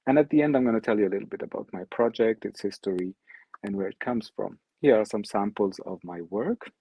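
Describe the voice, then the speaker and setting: A man's voice: lowish voice, a little fast, gravelly, slightly rough, no echo. A man whose first language is Arabic gives an online talk with slides as a guest speaker. He speaks with frequent ums and restarts. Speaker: guest speaker presenting his art project. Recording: dry, dull lo-fi audio.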